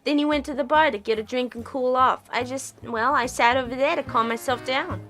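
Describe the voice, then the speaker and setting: A woman's voice: high voice, fairly quick, fairly bright tone. A woman playing a fiery, bitter daughter in her late teens in a noir radio drama, sassy and combative. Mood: hurt, defensive. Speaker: student voice actor. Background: music.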